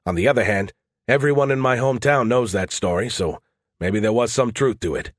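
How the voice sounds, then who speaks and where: A man's voice: medium pitch, fairly quick, no room sound, natural voice. A man voicing a wry, weary, easygoing soldier in an audiobook, with a casual, dry, defensive manner. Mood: sheepish, defensive.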